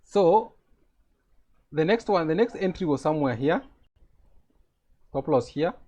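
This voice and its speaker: A man's voice: fairly high pitch, animated, unhurried, warm tone, muffled recording. A man with a Kenyan accent teaching a live trading lesson over a video call, explaining step by step and checking that his students follow.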